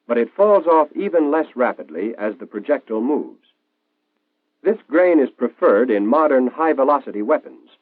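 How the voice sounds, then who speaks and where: Old tinny 1940s training film narrator, american guy, explains stuff clearly, lively up and down delivery. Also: medium pitch, dry recording. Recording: tinny band-limited 1940s film soundtrack.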